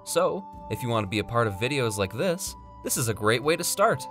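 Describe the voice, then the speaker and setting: A man's voice: medium pitch, very animated, fairly quick, over music, lightly acted. Man playing upbeat mage addressing his viewers. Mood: upbeat, inviting. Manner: upbeat, inviting, presentational. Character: friendly storyteller mage addressing viewers in character. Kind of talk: in-character promotional outro to viewers.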